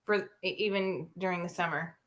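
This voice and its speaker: A woman, a studio owner on a coaching call, who answers hesitantly and thinks aloud with pauses and ums. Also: fairly low pitch, fairly even intonation, a bit bright. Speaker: dance studio owner, caller. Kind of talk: casual call with a business coach.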